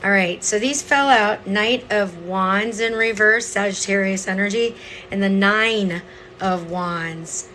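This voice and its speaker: A woman with a slightly hoarse voice giving a casual live tarot reading, chatting to viewers and checking in as she explains. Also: mid-range voice, takes it slowly, pushed and bright, a little hiss or hum, poor audio. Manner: conversational, explanatory, frequent "okay?" and "right?" check-ins. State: voice partly lost, hoarse. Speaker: tarot reader hosting a livestream.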